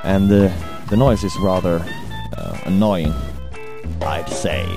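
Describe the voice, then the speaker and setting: A young Swedish man with a Swedish accent, hungover, rambling crude thoughts into a home mic in a hesitant, deadpan way. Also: low voice, slow pace. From Sweden. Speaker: amateur audio ranter. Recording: home recording with buzz and music.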